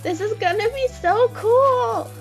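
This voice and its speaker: A woman playing an excitable, friendly young monster child in an audio drama, with an enthusiastic, slangy way of talking. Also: very high voice, slow pace, gravelly, soft background music, full character voice. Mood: excited.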